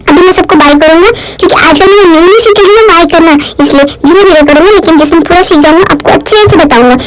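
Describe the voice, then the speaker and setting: Woman putting on a squeaky cheeky baby boy. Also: fast talker, background noise. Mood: playful. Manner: chatty, teasing, sing-song baby talk. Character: cheeky crawling baby boy narrating his day. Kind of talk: voice-over vlog narration.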